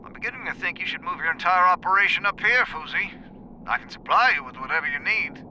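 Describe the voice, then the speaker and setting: A man playing a bright, persuasive power broker. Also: high voice, animated, fast, a little hiss or hum, heavily acted, poor audio. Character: smooth, persuasive male backer of the research. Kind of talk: audio drama acting.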